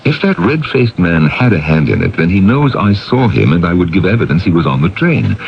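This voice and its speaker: A man's voice: low voice, big pitch swings, a little fast, creaky, dull audio, slight background noise, full character voice. An actor playing an uneasy man, a worried witness, in a 1970s radio drama. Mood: fearful, grave. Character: uneasy man, a witness fearing for his life. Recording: band-limited 1970s radio broadcast.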